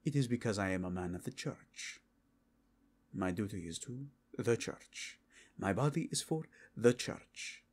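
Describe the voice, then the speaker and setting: A man's voice: lowish voice, expressive, measured pace, breathy edge. A man playing a stern, pious inquisitor, speaking formally and solemnly in an audio roleplay. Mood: stern, reserved.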